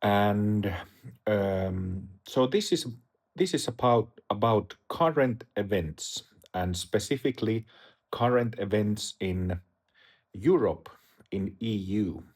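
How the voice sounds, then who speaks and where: A middle-aged man from Finland with a Finnish accent, talking to camera as a Dharma teacher in a hesitant, halting way with many fillers. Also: fairly low pitch, darkish tone. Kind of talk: casual talk to camera.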